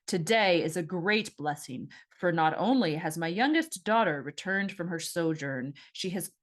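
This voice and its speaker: Woman as a grand, jovial sea god. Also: fairly low pitch. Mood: grand, welcoming. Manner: grand, ceremonious speechmaking. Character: giant jovial male sea god, grand feast host.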